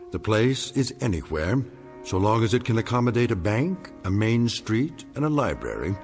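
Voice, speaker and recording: A man playing the grave, wry narrator of an eerie radio drama, measured and ominous in delivery. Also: lowish voice. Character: grave, wry male storyteller-host of eerie tales.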